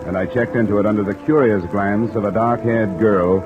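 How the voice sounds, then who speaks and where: An actor playing a cool, wry American investigator in a 1950s radio detective drama, speaking in a dry, clipped, understated way. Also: male voice, on the low side, steady tone, fairly quick, over music, close to an everyday voice. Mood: matter-of-fact narrating. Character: cool, wry freelance insurance investigator, a man. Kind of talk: 1950s American radio detective acting and first-person narration. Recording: muffled vintage radio recording, rain behind.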